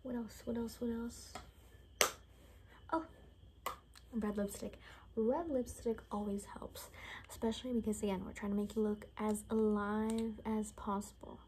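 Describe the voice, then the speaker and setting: A young woman doing an improvised ASMR roleplay in a soft, often whispered voice, rambling and repeating words in a hushed, unhurried way. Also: fairly high pitch, level tone, noisy background. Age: young adult. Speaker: ASMR creator playing a caretaker. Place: small bedroom, indoors.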